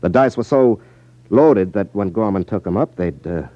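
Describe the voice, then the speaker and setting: An American actor playing a cool, wry magician detective in a 1950s radio drama, with a teasing, unruffled manner. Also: male voice, fairly low pitch, expressive, normal speed, no room sound, natural voice. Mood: assured, wry. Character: cool, wry magician detective who exposes gambling rackets. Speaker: lead actor in a detective series. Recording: muffled 1950s radio broadcast recording.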